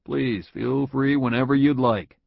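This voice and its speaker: A man's voice: medium pitch, measured pace, gravelly, dry recording. A man voicing a confident male executive in an audiobook, speaking firmly and emphatically. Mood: assured. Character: confident smiling male boss, direct and warm. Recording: dull lo-fi audio.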